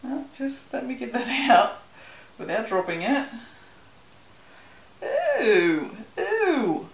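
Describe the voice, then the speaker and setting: A woman's voice: a little high, very animated, takes it slowly, vocal fry, echoey room, a little hiss or hum. An Australian woman chatting casually as she works on a craft video, rambling a little and laughing often. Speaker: soap-making channel host. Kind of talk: casual chat while working.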